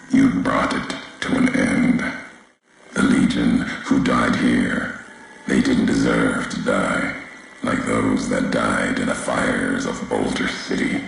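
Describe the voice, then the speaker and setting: A male actor playing a brooding, embittered courier and former soldier in a video game, speaking solemnly and cryptically in weighty, deliberate phrases with heavy pauses. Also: heavily acted. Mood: grave, accusing. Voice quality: very deep, gravelly. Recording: roomy echo, faint background noise.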